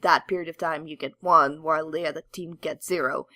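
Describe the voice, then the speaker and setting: A woman's voice: deep voice, fairly even intonation, brisk, sharp tone, dry recording, studio quality. A woman co-hosting a podcast, chatting casually about games in a hesitant, rambling way. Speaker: podcast co-host. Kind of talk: casual gaming discussion.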